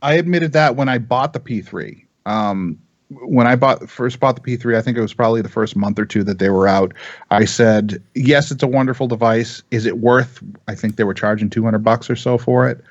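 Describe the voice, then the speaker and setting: American man, mid-low voice, emphatic, opinionated, repetitive phrasing, casual panel talk, slightly muffled lo-fi audio. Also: normal speed. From United States. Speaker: vaping talk show panelist.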